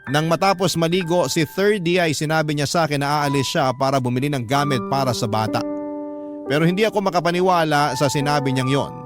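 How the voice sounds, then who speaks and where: Casting: male radio storyteller, slightly high voice, emotive scripted narration, dramatic pauses, clear music bed.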